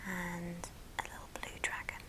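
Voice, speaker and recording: A woman hosting an ASMR show and tell in a soft, breathy whisper, speaking gently in short phrases. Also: lowish voice, completely flat delivery, unhurried. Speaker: ASMR video host.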